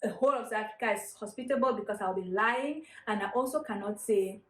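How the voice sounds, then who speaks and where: A woman's voice: mid-range voice, fast, reverberant. A woman from Cameroon talking earnestly and reflectively in a casual vlog, often hedging with honestly and like. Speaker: guest vlogger.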